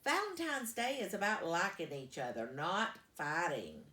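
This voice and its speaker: A woman's voice: on the low side, big pitch swings, unhurried, pushed and bright, full character voice. Lady doing a strict grade school teacher from a kids storybook, firm and scolding, roomy echo. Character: stern elementary school teacher hushing her class.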